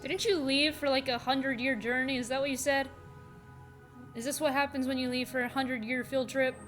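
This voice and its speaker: A woman with a fairly high voice gives casual reaction commentary. She speaks informally over game footage, with faint music behind her. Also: steady tone, brisk, fairly bright tone. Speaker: video creator reacting to game cutscenes.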